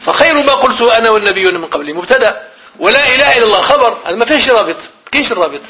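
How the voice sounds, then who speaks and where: A man's voice: extremely high pitch, lively intonation, very fast, pushed and bright, slight background noise. A man with a Moroccan Arabic accent teaching a grammar lesson. He repeats phrases, asks the class questions and then answers them himself.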